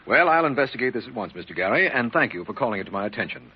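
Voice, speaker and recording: Businesslike radio district attorney; fast, emphatic, animated, on a lo-fi vintage recording. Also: male voice, mid-range voice, breathy edge. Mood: courteous, businesslike. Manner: clipped, official, emphatic. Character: brisk, businesslike, no-nonsense male district attorney. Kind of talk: old-time radio detective drama acting.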